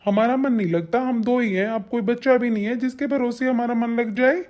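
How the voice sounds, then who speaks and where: A woman's voice: brisk, a little mellow, natural voice. A woman voicing a devoted, protective adult son in a melodramatic family cartoon, with an emphatic, insistent manner. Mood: firm, devoted. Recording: dull, low-fidelity sound.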